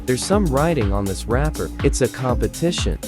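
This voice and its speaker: A woman's voice: a bass voice, animated, moderate pace, over music, pitched below the performer's own voice. A woman voicing a stubborn, eager young boy twin in a children's storybook. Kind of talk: children's storybook character reading.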